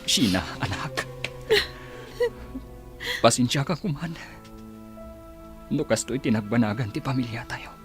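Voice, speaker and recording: Radio drama: male actor as remorseful loving dad, mid-range voice, gentle, earnest, light music behind. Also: medium pitch, expressive, a little slow, long pauses, natural voice. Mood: tender, remorseful. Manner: tender, earnest. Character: gentle, regretful, loving father.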